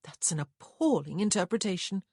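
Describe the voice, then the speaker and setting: A woman voicing a composed, disapproving upper-class mother in an audiobook, with an even, measured manner. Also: mid-range voice, no room sound, studio quality, natural voice.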